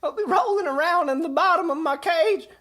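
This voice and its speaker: A scruffy junkie bird in a comedy audio drama. The voice is extremely high and small. It rambles crudely in slang, brash and utterly shameless, always on the scrounge. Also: female voice, fairly quick, fairly bright tone. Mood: rambling, shameless. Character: foul-mouthed junkie bird, brash moocher.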